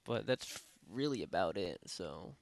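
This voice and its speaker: A young man casually talking through a software tutorial at his home computer, rambling with lots of fillers and restarts. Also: sits low, animated, unhurried, gravelly. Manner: rambling, many fillers and self-corrections. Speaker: tutorial host. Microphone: desktop microphone at a PC.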